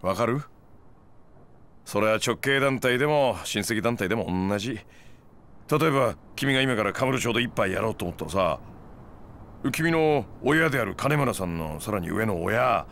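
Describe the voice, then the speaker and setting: A man's voice: lowish voice, animated, moderate pace, a bit bright. An actor playing a smug, laid-back male moneylender in his thirties, lecturing gangsters in a glib, needling way in a game cutscene. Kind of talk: video game cutscene acting.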